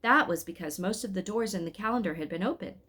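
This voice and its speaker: A woman's voice: mid-range voice, fast, a bit bright, husky. A woman reading a story aloud in a scripted narration style.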